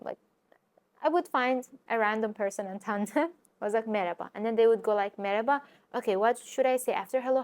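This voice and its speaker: Lively woman giving language tips. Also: fairly high pitch. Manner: lively, direct, talks to viewers, frequent fillers. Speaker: language teacher and YouTuber. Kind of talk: casual vlog-style lesson.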